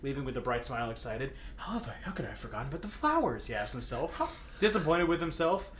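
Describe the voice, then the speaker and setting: A man's voice: mid-range voice, sing-song, quick pace, a bit bright. A young man reading a story aloud in a jokey way, breaking into banter, with a giggly, stammering, playfully dramatic delivery. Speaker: co-host reading fan fiction aloud. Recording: roomy echo, clear background noise.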